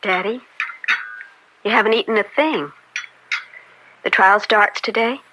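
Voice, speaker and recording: A bright, fiery young daughter. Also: female voice, mid-range voice, unhurried, a little hiss or hum, low-quality recording. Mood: insistent. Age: young adult. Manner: emphatic, insistent. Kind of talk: old-time radio drama acting.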